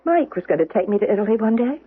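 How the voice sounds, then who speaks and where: A wistful young widow, with a widely swinging, slightly husky voice. Her delivery is hesitant and dramatic. It comes through the narrow, muffled sound of a 1970s radio drama broadcast. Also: mid-range voice, sing-song, very fast, dry recording. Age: young adult. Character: grieving young widow, a wistful history teacher. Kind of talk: old-time radio drama acting.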